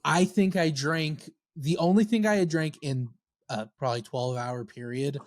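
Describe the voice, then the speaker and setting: A man's voice: on the high side, moderate pace, a little mellow, no room sound, studio quality. A man, a guest band member, telling rambling self-deprecating stories in a casual podcast chat.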